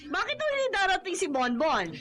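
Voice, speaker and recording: A bossy Filipina film producer in a radio drama. Her voice is high and very animated, with an imperious, businesslike delivery. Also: a little fast, over music. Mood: indignant, scheming. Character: brisk, shrewd, bossy woman film producer.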